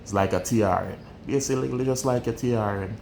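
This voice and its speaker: A man's voice: lowish voice, a little fast, vocal fry, darkish tone, a little hiss or hum. A young Jamaican man with a Jamaican accent, talking candidly and bluntly in a casual vlog and often repeating his phrases. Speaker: vlogger. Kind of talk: casual personal storytelling.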